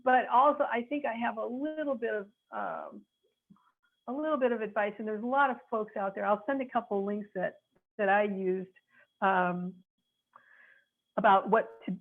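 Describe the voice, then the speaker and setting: Woman, 60s, attorney, from the Midwest, earnest and frank, gravelly, tinny phone-like sound. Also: mid-range voice. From North Chicago, USA. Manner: earnest, searching for words, frank. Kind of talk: reflective advice talk.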